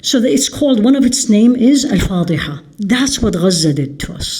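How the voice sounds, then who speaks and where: A woman's voice: medium pitch, animated, moderate pace, mellow, a little hiss or hum. A woman from Iraq, a physician, giving an earnest faith-based lecture at a conference. She asks rhetorical questions and mixes in Arabic prayers and verses.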